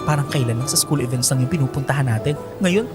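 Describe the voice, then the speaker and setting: An actress playing an excitable, gushing young woman, a best friend in a radio drama. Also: extremely low pitch, quick pace. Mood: gushing, proud. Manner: exclamatory, gushing, Taglish. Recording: music behind the voice.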